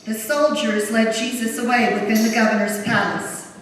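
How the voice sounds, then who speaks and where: A woman's voice: a little high, steady tone, normal speed, fairly bright tone. A woman reading scripture as narrator in a solemn dramatic style in a church. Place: large church during a service. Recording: very echoey church, distant, faint noise.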